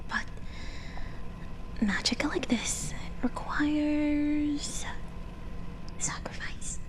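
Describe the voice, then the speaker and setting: A woman voicing a gentle, teasing, enigmatic forest witch healer in an ASMR roleplay, murmuring with pauses in a breathy whisper. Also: high voice, low-quality recording. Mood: coy, bargaining. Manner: slow, gentle, pausing murmurs.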